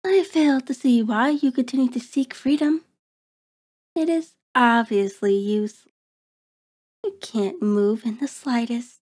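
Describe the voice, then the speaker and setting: A woman's voice: high voice, a little mellow. A woman playing a cruel, predatory vampire in an ASMR horror roleplay, taunting and haughty, savoring words in formal diction. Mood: boastful, menacing. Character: cruel, predatory female vampire toying with her captive.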